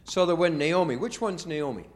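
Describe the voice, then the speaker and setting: Older guy in his 70s, kinda high voice, chatty storytime with kids, keeps asking them stuff. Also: expressive, normal speed. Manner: folksy, asks the children questions. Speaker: storyteller for children. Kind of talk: casual children's storytime. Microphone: told from memory without notes.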